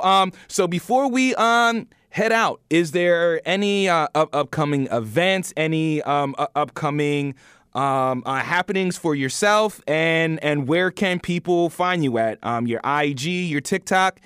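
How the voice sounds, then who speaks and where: A man hosting a conversational podcast interview, halting and often repeating words as he goes. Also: on the high side, expressive, a bit bright, clean tone, no echo, studio quality.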